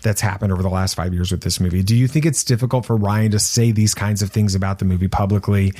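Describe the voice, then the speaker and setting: Guy with a lowish voice hosting a casual movie podcast chat. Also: fairly even intonation, fast, a little mellow. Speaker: podcast host. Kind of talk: casual panel discussion of films.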